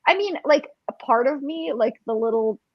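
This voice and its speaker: Kinda high woman's voice, casual book chat co-host, muffled lofi dry sound. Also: animated, normal speed, no echo. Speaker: co-host on a book discussion livestream. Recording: dull, dry lo-fi call audio.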